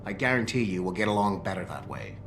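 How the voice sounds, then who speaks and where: A man's voice: low-pitched, lively intonation, fast, fairly bright tone, soft background music. An actor playing a condescending, sarcastic male computer specialist in a video game, speaking with a mocking, snide drawl.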